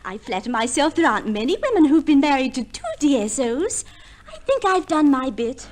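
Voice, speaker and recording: A flighty young society wife, pitched high and very animated. She is vain and genteel, gushing in an affected way, in the manner of old radio comedy. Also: brisk. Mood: gushing, self-satisfied. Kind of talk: 1950s radio comedy acting.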